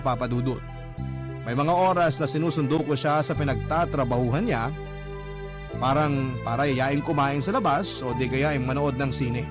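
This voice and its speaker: A man narrating a listener's love letter in a dramatic, expressive radio style. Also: on the high side. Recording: narration over clear music bed.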